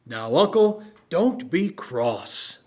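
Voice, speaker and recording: A man acting a cheerful, good-natured young gentleman in an old-time radio drama, with a hearty manner. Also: fairly high pitch, sing-song, drawn-out words, heavily acted. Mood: good-natured, teasing.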